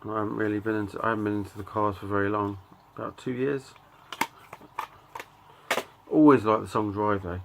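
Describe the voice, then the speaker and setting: A middle-aged man giving a casual show-and-tell of his collection, talking in a halting, offhand way and reading out titles in short bursts. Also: on the low side, steady tone, gravelly, slight background noise. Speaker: record collector and channel host.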